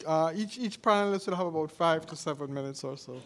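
A man moderating a panel, introducing it informally in halting phrases. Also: fairly high pitch, a bit bright. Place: theater stage, indoors.

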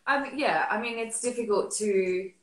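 A woman's voice: medium pitch, moderate pace. A woman in her thirties from Australia, talking casually as a beer expert guest on a video call from home, full of fillers and restarts. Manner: chatty, many fillers and restarts. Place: living room at home. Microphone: laptop webcam on video call. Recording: roomy webcam audio.

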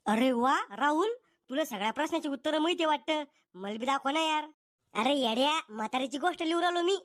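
A cheeky, lazy village schoolboy in a cartoon, as a voice actor plays him. He jokes and boasts in a high voice. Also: lively intonation, a little fast, fairly bright tone, no room sound, clean studio sound.

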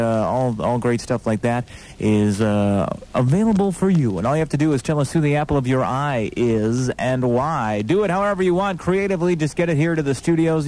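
A man's voice: fairly high pitch, a little mellow. A man working as a radio DJ, with casual, conversational, rambling ad-libbed patter. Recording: faintly noisy old aircheck tape.

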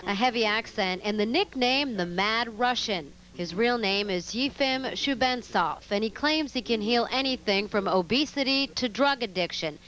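A woman in her thirties narrating a local TV news feature in a measured, crisp reporter's delivery. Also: fairly high pitch, lively intonation, fairly bright tone, slight background noise.

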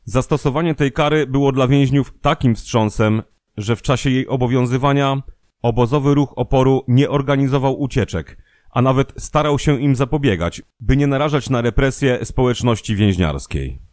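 A man reading scripted documentary narration in a measured, formal manner. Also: medium pitch, darkish tone, no room sound, clean studio sound.

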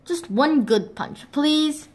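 A woman's voice: high-pitched, expressive, slow pace, voice pushed up. A woman voicing a timid male fox who wants to seem scary, with a whiny, pleading way of talking. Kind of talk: storybook read-aloud.

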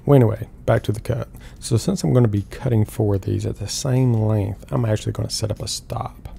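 A middle-aged man calmly explaining woodworking steps one at a time in an instructional voice-over. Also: fairly low pitch, brisk, vocal fry, slight background noise. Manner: calm, step-by-step explaining. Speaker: woodworking host.

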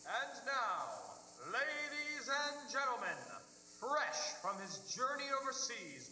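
A man's voice: high-pitched, sharp tone, a little hiss or hum, exaggerated voice. A male actor playing a grandiose awards show announcer in a stage play. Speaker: actor in a high school play. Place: theater, heard over darkened stage. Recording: echoey hall PA, distant, humming.

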